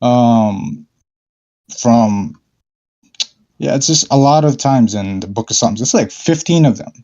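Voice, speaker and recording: A man calling into an online discussion, speaking hesitantly with many fillers and frequent throat clearing. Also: mid-range voice, animated, takes it slowly, warm tone, highs cut off. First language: not Arabic. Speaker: caller. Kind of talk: casual debate call-in.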